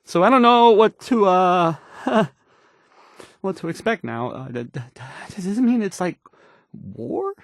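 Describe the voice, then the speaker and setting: A man's voice: high-pitched, very animated, a little slow, gravelly, dry recording, clean studio sound, exaggerated voice. An actor playing a nervous, awkward young male radio DJ in a game, stammering and hesitating through the news, rambling and unsure of himself. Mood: anxious, rambling. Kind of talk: fumbled radio news report in a video game.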